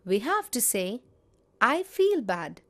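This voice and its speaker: Lady teacher, slow careful grammar lesson, lots of up and down in her voice. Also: on the high side, sing-song, fairly bright tone. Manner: measured, spells out each example.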